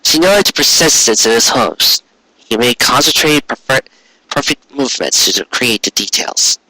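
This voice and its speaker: A man's voice: medium pitch, expressive, measured pace, sharp tone, no room sound, rough recording. A man narrating a written story expressively, with earnest, dramatic phrasing. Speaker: story reader.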